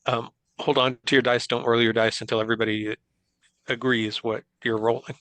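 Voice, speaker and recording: Bright, dry-sounding man explaining rules. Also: medium pitch, flat delivery, brisk, no room sound. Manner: explaining patiently, quoting rules. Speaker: guest game designer. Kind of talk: casual conversation.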